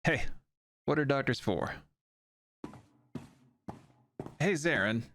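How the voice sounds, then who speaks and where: A man's voice: mid-range voice, expressive, moderate pace, a bit bright, slight background noise, lightly acted. A man playing a frank, easygoing, lovelorn doctor in a sci-fi audio drama.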